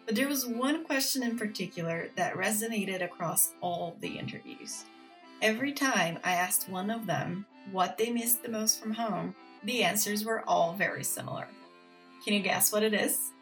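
A woman's voice: medium pitch, low-quality recording. Earnest woman host from Brazil. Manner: measured, earnest, reads with steady emphasis. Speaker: podcast host. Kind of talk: scripted narration. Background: faint music.

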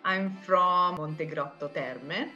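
A woman from Italy introducing herself in a slow, careful way. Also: fairly low pitch, soft background music. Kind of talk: self-introduction. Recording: dull, muffled remote recording.

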